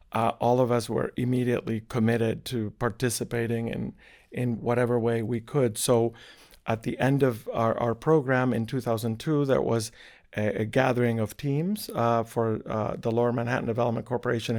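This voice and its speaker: A middle-aged man from Spain, a professor talking thoughtfully in an interview, often pausing and restarting his sentences. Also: medium pitch, a little mellow. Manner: thoughtful, halting, frequent restarts. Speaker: architecture professor, guest. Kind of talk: casual interview conversation.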